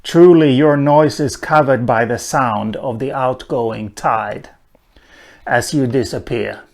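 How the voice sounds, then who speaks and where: Slow, thoughtful man with Swedish roots. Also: mid-range voice. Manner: slow and thoughtful, with many "uh" pauses. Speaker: language teacher. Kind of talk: unhurried spoken lesson on a language.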